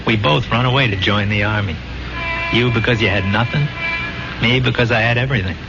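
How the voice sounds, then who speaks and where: Dull 1970s radio drama, music behind, man playing low-pitched brash soldier, glib, streetwise, persuasive. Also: brisk, natural voice. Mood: earnest, insistent. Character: brash, hell-raising dead soldier pleading with his buddy.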